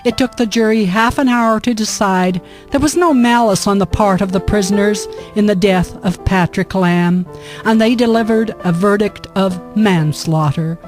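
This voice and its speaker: A woman's voice: mid-range voice, moderate pace, darkish tone, faint music behind. A woman reading scripted narration for a historical radio drama.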